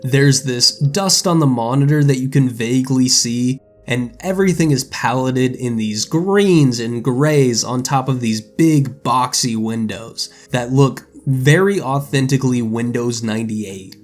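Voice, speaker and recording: A man's voice: medium pitch, a little slow. A man narrating a scripted video game review as an essayist. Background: background music.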